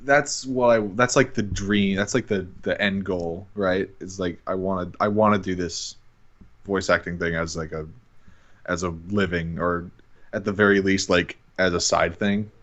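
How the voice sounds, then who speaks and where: A man's voice: low-pitched, steady tone, normal speed, a bit bright, lo-fi sound. A young man chatting casually as a podcast guest, hesitant and hedging with lots of "like". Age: young adult. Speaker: guest amateur voice actor on a podcast. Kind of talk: casual chat.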